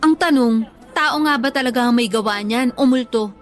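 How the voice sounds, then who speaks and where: A woman's voice: fairly high pitch, a little fast, soft background music, close to an everyday voice. A woman acting a nagging, superstitious young Filipino woman in a Tagalog radio drama. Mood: ominous.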